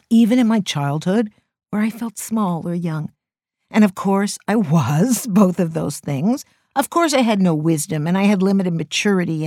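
A woman's voice: mid-range voice, darkish tone, dry recording, studio quality. A middle-aged woman hosting a podcast, giving an earnest, emphatic spiritual talk. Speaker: podcast host and teacher.

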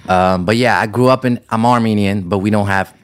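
A man's voice: lowish voice, fairly quick, clean tone. A man who grew up in the Netherlands, a podcast guest chatting casually with lots of fillers. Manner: relaxed, loose, frequent fillers. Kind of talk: casual interview chat.

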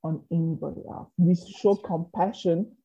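A lower-pitched woman from South Africa, a business coach, answers earnestly and openly. Her voice is husky and comes through a tinny, phone-like line. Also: fairly even intonation, moderate pace, warm tone. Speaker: business and marketing coach, guest. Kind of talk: conversational interview.

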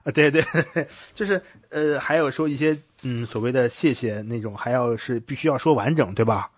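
A man's voice: medium pitch, moderate pace, no room sound. A man hosting a casual interview, asking wordy, informal questions and checking back as he goes. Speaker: podcast host, interviewer.

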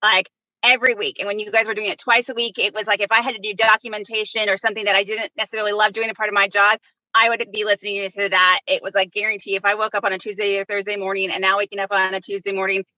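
Bright, earnest woman podcast guest. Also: mid-range voice, flat delivery, fast, no room sound, rough recording. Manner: earnest, chatty. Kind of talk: casual chat.

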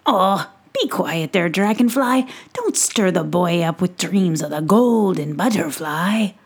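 A woman putting on a jolly male pixie peddler from a storybook, loud and showy, with a husky, gravelly grain. He stretches his sales patter out slowly and swings it high and low. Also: expressive, heavily acted. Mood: teasing. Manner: booming, drawn-out salesman patter.

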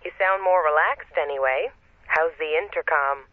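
A woman's voice: sits low, moderate pace, dry recording, close to an everyday voice. An actress playing a chatty, placating woman friend on a phone call in an audio drama, speaking expressively. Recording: dry, dull, lo-fi telephone-call audio.

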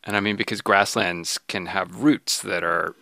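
Bright, lower-pitched male podcast host. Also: steady tone, moderate pace, vocal fry, no room sound. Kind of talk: podcast interview and conversational narration.

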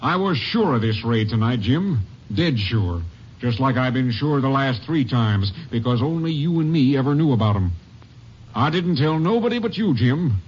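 A man's voice: medium pitch, very animated, normal speed, darkish tone, husky. An actor playing a stern, honest male sheriff in a 1950s radio drama, blunt and accusing. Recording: muffled old radio transcription.

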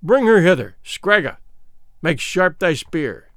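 A man's voice: high-pitched, sing-song, slow, fairly bright tone, no room sound, clean studio sound, exaggerated voice. A man playing a cruel king who makes grave, commanding pronouncements in archaic speech.

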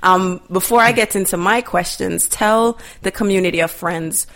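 A woman's voice: mid-range voice, moderate pace, a bit bright. A woman hosting a podcast, chatty and upbeat, with many fillers as she introduces her guest. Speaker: podcast host. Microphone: studio mic.

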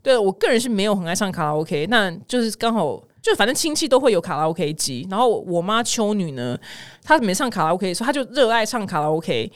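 A woman's voice: mid-range voice, big pitch swings, quick pace. A middle-aged woman with a Taiwanese Mandarin accent hosts casual news commentary with personal anecdotes, chatty, colloquial and exclamatory. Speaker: podcast host.

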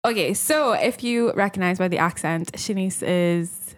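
A woman's voice: fairly low pitch, a little slow. A woman co-hosting a podcast, chatting casually and laughing often as she tells stories. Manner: laughs often, animated storytelling. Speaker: podcast co-host. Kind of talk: casual chat.

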